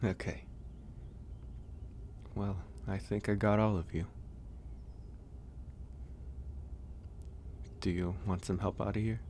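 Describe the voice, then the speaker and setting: Werewolf caretaker, male actor: very low register, kind and unhurried with hesitant pauses, intimate ASMR roleplay over ambient noise. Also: flat delivery, close to an everyday voice. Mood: gentle, caring. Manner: gentle, hesitant, reassuring, pausing often. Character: gentle male werewolf, caring stranger nursing a guest.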